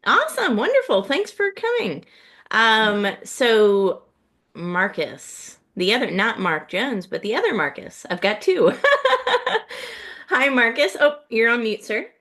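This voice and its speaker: A woman hosts a video call with bubbly enthusiasm, her pitch swinging lively up and down. She laughs easily as she welcomes each guest. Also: slow. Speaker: host of a speakers' community meeting. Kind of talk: hosting a video call meeting.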